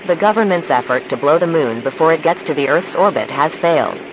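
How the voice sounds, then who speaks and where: Casting: man acting as a calm, formal emergency alert announcer, slightly high-pitched, measured delivery, clearly noisy recording. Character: calm, formal male emergency broadcast announcer in a disaster scenario.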